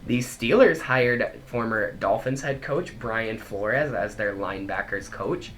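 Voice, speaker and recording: A young man with a low voice co-hosts a casual sports podcast, chatting his way through one news item after another. His voice is congested from a head cold, and there is a faint hiss behind him. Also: measured pace, a bit bright. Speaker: podcast co-host.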